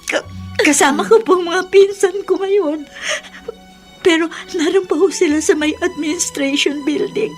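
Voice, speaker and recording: An actress playing an earnest, respectful young Filipino niece in a radio drama, speaking politely and a little hesitantly. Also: female voice, extremely high pitch, moderate pace, soft background music.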